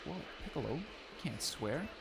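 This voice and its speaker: A man's voice: medium pitch, lively intonation, normal speed, gravelly, heavy background noise. A man from Canada with a slightly New York-like accent, rambling chattily as he plays a game on stream through a turned-down laptop mic. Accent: somewhat New York-like. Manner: rambling, self-aware, chatty with filler restarts. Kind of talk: casual let's-play commentary. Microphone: laptop mic turned down low. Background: game music.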